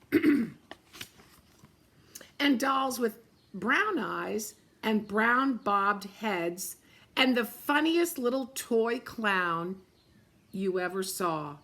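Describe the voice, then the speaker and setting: Slow, bright-voiced woman reading a storybook. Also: medium pitch. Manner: gentle, pointing out the pictures as she reads; frequent throat clearing. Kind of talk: storytime read-aloud to children.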